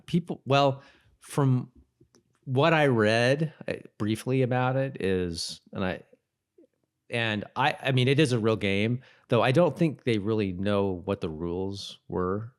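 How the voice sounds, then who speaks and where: A man's voice: medium pitch, measured pace. A man co-hosting a podcast in casual TV recap chat, talking in a rambling, self-correcting way. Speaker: podcast co-host.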